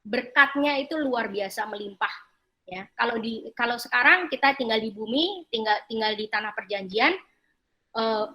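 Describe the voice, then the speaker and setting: A woman pastor preaching a sermon, haltingly repeating and rephrasing her phrases as she explains scripture. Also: on the high side, bright, forceful tone, low-quality recording. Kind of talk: sermon with slides and scripture reading.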